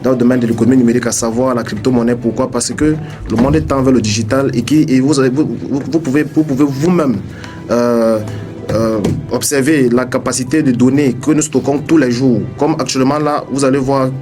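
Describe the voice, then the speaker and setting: A young man, an English speaker by birth, guesting on a radio interview and talking quickly with many halting restarts. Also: mid-range voice. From Cameroon. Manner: fast, halting talk with many restarts and repeated words. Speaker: radio guest, crypto entrepreneur. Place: radio studio.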